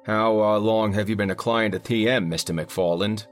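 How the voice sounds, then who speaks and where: A man's voice: on the low side, fairly even intonation, normal speed, soft background music, pitched above the performer's own voice, natural voice. A man voicing a harried, middle-aged male manager who keeps up a strained, polite customer-service tone.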